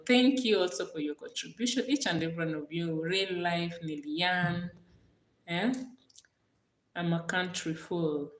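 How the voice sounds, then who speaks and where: A woman from Kenya with a Kenyan accent, chatting casually on a livestream, warm and gracious toward her viewers and repeating her thanks again and again. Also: lowish voice, roomy sound. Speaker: livestream host.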